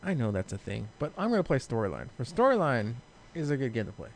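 A man's voice: medium pitch, big pitch swings, fast talker, gravelly, slight background noise, rough recording. A man chatting casually about video games as a co-host, blunt, profane and prone to wandering off on tangents.

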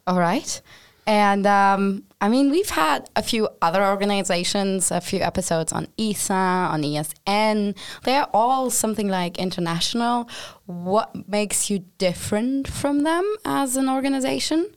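A woman's voice: mid-range voice, unhurried, clear, resonant tone. A woman hosting a podcast, talking casually as she interviews her guests. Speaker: podcast host.

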